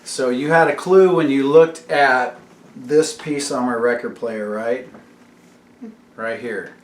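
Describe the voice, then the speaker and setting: A man's voice: medium pitch, slow. A man hosting a casual home vlog, explaining things in a teacherly way and putting questions to his kids. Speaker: YouTube channel host and father. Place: room at home. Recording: echoey room with faint background noise.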